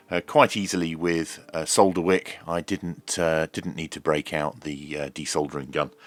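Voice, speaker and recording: Low-voiced man talking through a hands-on tutorial. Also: fairly bright tone. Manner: hesitant, piecemeal phrasing with frequent ums. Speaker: presenter of radio review and repair videos. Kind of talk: hands-on tutorial commentary, unscripted.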